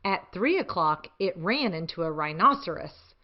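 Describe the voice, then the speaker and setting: A woman's voice: lowish voice, expressive, normal speed, echoey room, highs cut off. A woman hosting a children's storytime, reading aloud expressively and chatting enthusiastically with young listeners. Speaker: children's storytime host and reader.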